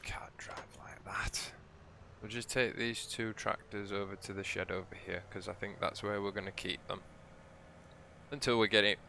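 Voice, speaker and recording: Croaky man with a low, bright voice. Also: slight background noise. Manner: relaxed, rambling, chatty. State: croaky, hoarse. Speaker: let's play host. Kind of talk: casual gameplay commentary.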